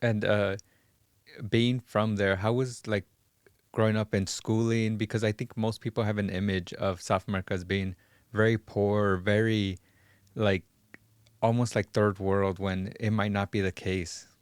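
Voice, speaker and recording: A man's voice: on the low side, little pitch movement, measured pace, clear, resonant tone, dry recording. A man hosting an interview podcast, presenting his guest and posing questions. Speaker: podcast host. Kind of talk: scripted introduction and interview questions.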